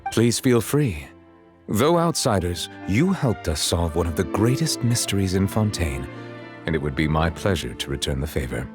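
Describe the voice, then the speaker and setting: A dignified chief judge in a fantasy video game. His voice sits low, and he speaks with solemn courtesy in measured, erudite phrases. Soft music plays underneath. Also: sing-song. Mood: grave, courteous. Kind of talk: scripted game dialogue.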